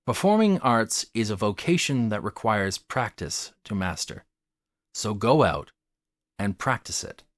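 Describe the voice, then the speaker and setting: Low-voiced man with sweeping, expressive intonation. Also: dry recording, studio quality. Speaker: host of a theatre commentary channel. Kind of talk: scripted video essay narration.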